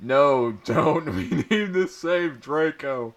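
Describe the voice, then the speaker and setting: A man's voice: on the high side, expressive, takes it slowly, pitched above the performer's own voice. A man voicing an angry, foul-mouthed, dramatic teenage goth girl with a blunt manner, in a comic story reading. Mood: urgent. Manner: indignant, blunt.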